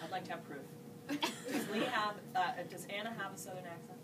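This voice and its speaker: A woman's voice: mid-range voice, fairly quick, recorded from a distance, very noisy. A woman author telling a rambling, jokey story to an audience at a bookstore event, breaking off and restarting as she goes. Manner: rambling, self-interrupting, jokey. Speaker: author on a panel.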